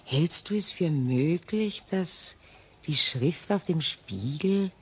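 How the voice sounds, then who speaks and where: An actress playing a shaken, wistful ageing widow in a radio crime drama, with halting, pause-filled delivery. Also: female voice, sits low, expressive, breathy edge, exaggerated voice. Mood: wistful, musing.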